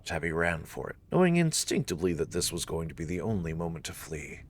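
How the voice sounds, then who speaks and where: A man's voice: low-pitched, very animated, fast talker, a little hiss or hum. A man reading scripted visual novel narration as a dramatic storyteller. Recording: soft music behind the voice.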